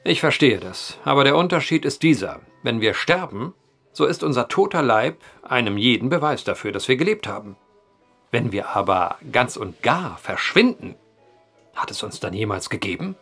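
An actor playing a brooding, eloquent storyteller at a bar in an audio drama, wordy and theatrical. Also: male voice, medium pitch, very animated, normal speed, vocal fry, fairly bright tone, faint music behind, exaggerated voice. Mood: brooding, insistent.

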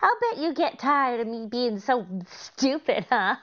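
Female actor as a scatterbrained, innocent wife, vintage radio comedy style, raised pitch, slightly dull lo-fi audio. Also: big pitch swings, measured pace, fairly bright tone. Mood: innocent, puzzled. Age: middle-aged. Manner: innocent, earnest. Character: sweet, scatterbrained, literal-minded comedian wife. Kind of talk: 1940s radio comedy acting.

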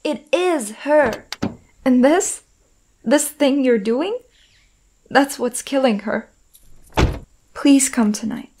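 A woman's voice: high voice, takes it slowly, long pauses, a little mellow, slight background noise. A young woman acting in a comic dub as a protective, outspoken friend, emphatic and insistent. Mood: upset, pleading. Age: young adult.

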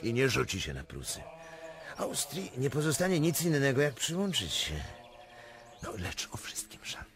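Volatile, wildly intoned Russian prince. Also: male voice, medium pitch, wildly swooping pitch, normal speed, fairly bright tone, faint music behind. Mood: scheming, bold. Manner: theatrical, swinging from boasts to grave confessions. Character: volatile, mocking Russian prince, grandiose and fatalistic. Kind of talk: radio drama acting.

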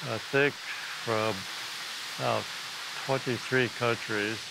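A man's voice: mid-range voice, fairly even intonation, takes it slowly, creaky, heavy background noise. An older man, founder of a rural artist farm, talking bluntly and plainly outdoors while giving work directions. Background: background music.

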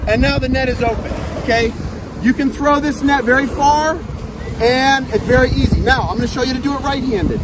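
A man in his thirties hosting a fishing channel, upbeat, demonstrating a cast net outdoors on a sandy beach and calling the viewers "guys". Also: very high-pitched. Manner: upbeat, repeats "guys" and "okay", talks while handling the net. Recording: outdoor beach noise behind the voice.

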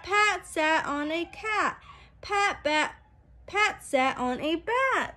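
A young woman, a preschool teacher, reading a picture book aloud to small children in an animated, sing-song, exaggerated way. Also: very high voice. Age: young adult. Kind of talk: read-aloud story time for small children. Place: small room at home, indoors. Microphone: phone at arm's length.

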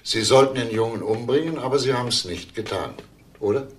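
An actor playing a patient, probing older police detective in a radio crime drama, calm and deliberate. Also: male voice, medium pitch, lightly acted. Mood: probing, coaxing.

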